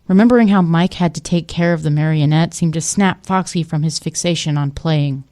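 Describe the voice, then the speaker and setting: A woman's voice: deep voice, brisk, mellow. A woman giving scripted story narration for an audio drama.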